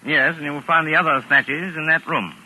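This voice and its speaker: Pompous, sharp-voiced crime writer. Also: male voice, a little high, steady tone, fairly quick, poor audio. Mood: pompous, brisk. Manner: pompous, declamatory. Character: pompous, cocky male crime novelist, unflappable and theatrical. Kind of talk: 1940s radio mystery acting. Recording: vintage 1940s radio broadcast.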